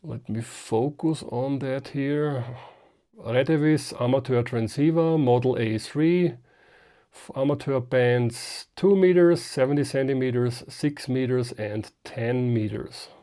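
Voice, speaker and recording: A man hosts an unscripted hands-on product demonstration in a relaxed, soft-edged voice of medium pitch. His delivery is slow and halting, as he thinks aloud while he works. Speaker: amateur radio video host.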